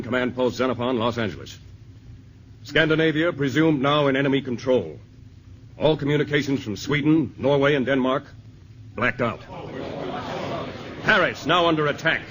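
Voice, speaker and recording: An actor playing a stern army general briefing officials in a 1950s radio drama, grave and commanding. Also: male voice, mid-range voice, a bit bright, lightly acted. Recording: old radio broadcast with faint hiss.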